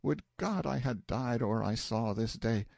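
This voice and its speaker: A man's voice: medium pitch, lively intonation, measured pace, creaky, a little mellow, no room sound, studio quality. A man voicing a weary, mocking young page boy in an audiobook, sighing and complaining theatrically in archaic English. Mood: weary exasperation. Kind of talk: audiobook character voice.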